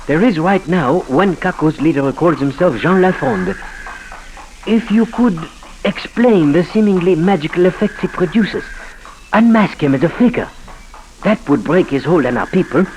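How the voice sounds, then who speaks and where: An actor playing a cynical, formal Haitian doctor with a French accent in a 1940s radio drama. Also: male voice, a little high, expressive, normal speed, darkish tone, natural voice. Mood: earnest, persuasive. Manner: formal, suave, punctuates speech with courteous address. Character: cynical, smooth Haitian doctor educated in Paris. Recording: noisy 1940s radio broadcast recording.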